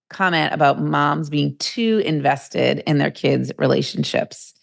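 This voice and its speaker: Middle aged american lady podcast host, really low voice with some vocal fry. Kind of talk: interview and reflective narration.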